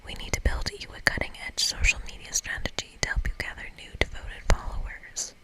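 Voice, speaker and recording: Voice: brisk, pushed and bright, close to an everyday voice. An earnest young woman from a game put on by an ASMR reader, speaking in a whisper. She is sincere and casual, a helpful friend with a nervous, encouraging way. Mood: earnest, reassuring.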